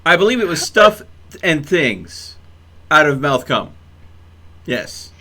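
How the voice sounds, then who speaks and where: A man with a high, sharp, forward voice chats as a game streamer. He rambles with mock seriousness, repeating words and playfully mangling his grammar. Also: big pitch swings, slow, a little hiss or hum. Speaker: live streamer hosting a gaming session. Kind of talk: casual bantering game-stream chat.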